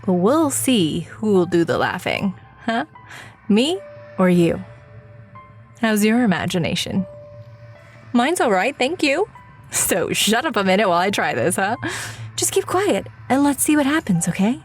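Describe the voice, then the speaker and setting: A weary, self-mocking writer in a radio play. She confides in the listener conversationally, with a slightly slow pace and a very animated, mid-pitched voice. Faint music plays behind her. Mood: teasing, challenging. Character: wry, weary woman writer of supernatural stories. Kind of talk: audio drama acting, confiding monologue to the listener.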